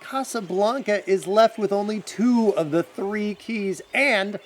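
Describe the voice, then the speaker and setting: A man playing an eager, resourceful young adventurer in an animated radio drama. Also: high-pitched, a little slow. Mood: determined. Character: eager, resourceful young male adventurer seeking his grandfather. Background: music.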